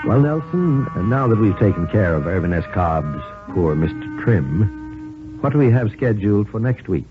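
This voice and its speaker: A man's voice: lowish voice, big pitch swings, moderate pace. A man hosting a 1950s radio anthology show in an announcer's style. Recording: muffled 1950s radio recording, music behind. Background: music.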